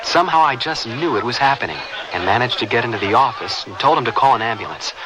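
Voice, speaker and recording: A man's voice: medium pitch, lively intonation, quick pace, background noise. A young man giving a casual, conversational personal testimonial in a radio spot. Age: young adult.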